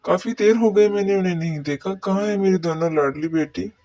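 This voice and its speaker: High-pitched man as a doting cartoon father. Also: brisk, dark tone, no room sound, lo-fi sound, close to an everyday voice. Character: doting, middle-aged rich merchant father, indulgent and decisive. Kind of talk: cartoon dialogue.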